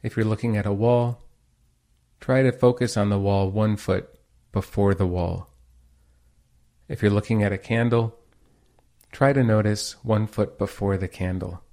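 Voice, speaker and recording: Calm guy doing guided meditation, deepish mellow voice, unhurried and soothing. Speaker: meditation teacher and host.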